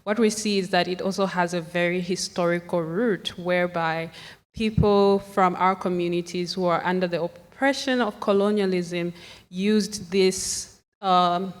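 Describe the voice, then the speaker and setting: A woman from Zambia speaking as a panelist, in a thoughtful, measured way. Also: fairly low pitch. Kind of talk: conference panel talk. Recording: long hall reverb.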